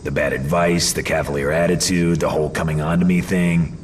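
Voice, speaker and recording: An actor playing a sardonic, wisecracking video game action hero with a gruff, deadpan delivery. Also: male voice, a bass voice, fairly quick, slight background noise. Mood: irritated, sardonic. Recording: loud music behind.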